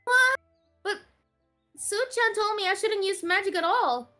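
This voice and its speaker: A woman voicing a playful, pouty, clingy young female mage from an anime visual novel, excitable and stubborn. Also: extremely high pitch, measured pace, faint music behind, heavily acted. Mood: defensive, pouty.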